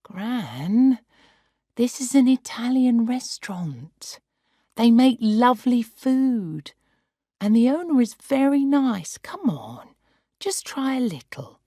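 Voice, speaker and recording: A woman voicing a patient young woman who gently coaxes her grandmother. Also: a little high, very animated, takes it slowly, no room sound, studio quality, pitched above the performer's own voice, exaggerated voice. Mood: coaxing. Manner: gentle, coaxing.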